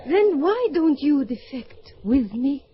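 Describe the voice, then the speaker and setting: An actress playing a young Russian figure skater in a radio drama, eager and earnest, on a dull lo-fi recording. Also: female voice, high voice, sing-song, takes it slowly, a little hiss or hum, heavily acted. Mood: determined. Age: young adult. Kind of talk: old-time radio drama acting.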